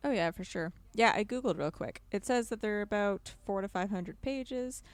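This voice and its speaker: A woman's voice: medium pitch, normal speed, no echo. A woman guesting on a podcast, chatting casually in an informal, emphatic way.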